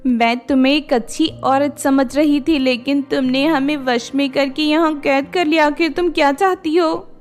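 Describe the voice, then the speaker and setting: A naive, earnest college girl, played by a woman narrator, with a fairly high voice. She speaks as a storybook character over soft music. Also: fairly even intonation, moderate pace, few pauses, close to an everyday voice. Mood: upset, pleading. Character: naive college girl, eager and easily hurt.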